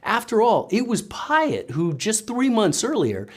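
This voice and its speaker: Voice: medium pitch, huge pitch swings, a little slow. A narrator reading scripted political commentary with pointed, sardonic emphasis.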